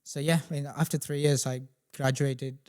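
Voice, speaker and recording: A man's voice: mid-range voice, moderate pace, clear, resonant tone. Young man with a slight Spanish accent. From Spain. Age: 20s. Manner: relaxed, self-deprecating storyteller. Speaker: podcast guest, chemistry tutor. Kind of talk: casual interview chat.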